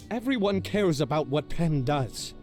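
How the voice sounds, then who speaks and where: Pompous, theatrical high-pitched game hero. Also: male voice, big pitch swings, soft background music. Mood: distraught. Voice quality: theatrical, exaggerated delivery. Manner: grandiose, mock-heroic, drawn-out emphatic phrasing. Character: pompous, boastful self-styled protector hero, insecure underneath. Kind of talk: video game voice acting.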